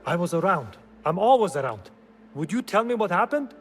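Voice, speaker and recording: Higher-voiced, evasive male informant. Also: very animated, brisk, fairly bright tone, faint music behind. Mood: evasive, earnest. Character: shady, evasive male informant, worldly and self-justifying. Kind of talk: dramatic game cutscene acting.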